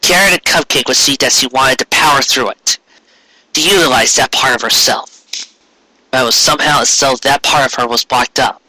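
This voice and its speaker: Forceful, husky male storyteller. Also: medium pitch, normal speed, low-quality recording. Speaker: story narrator. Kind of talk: scripted fan fiction storytelling.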